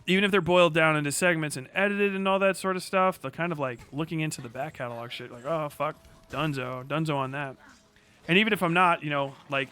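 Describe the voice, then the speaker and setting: Man, slightly high-pitched, clean smooth voice, casual streamer chat, slangy, profane, rambling. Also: pushed and bright. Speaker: live streamer. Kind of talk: casual livestream chat while playing a video game.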